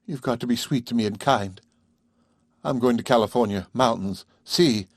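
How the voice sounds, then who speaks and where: A man's voice: mid-range voice, normal speed, dry recording, studio quality, lightly acted. A man voicing a proud young wife, defiant yet shaken, speaking in a tense, clipped way.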